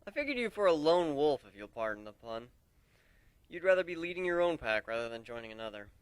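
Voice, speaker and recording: A man's voice: on the high side, sing-song, a little fast, clear, resonant tone, dry recording, pitched above the performer's own voice. A man voicing a brooding, sardonic male griffin captain in an earnest, theatrical dramatic reading. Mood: wry. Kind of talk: dramatic reading of fan fiction.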